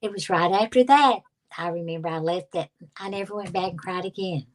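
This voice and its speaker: Older woman, low-ish voice, casual guest, reflective storyteller who restarts often. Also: fairly quick. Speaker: guest psychic reader. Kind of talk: casual conversation.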